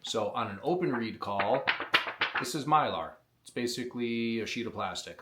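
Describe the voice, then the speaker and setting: A man's voice: fairly low pitch, a bit bright, a little hiss or hum. A man explaining step by step, in a plainspoken way, how to blow a hunting call, teaching beginners on camera. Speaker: call maker teaching predator calling. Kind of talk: instructional demonstration.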